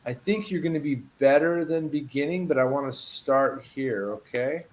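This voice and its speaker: A man's voice: mid-range voice, normal speed, a little hiss or hum. Patient man tutoring English. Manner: patient, encouraging, reads model phrases with lively emphasis. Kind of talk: online one-on-one English lesson.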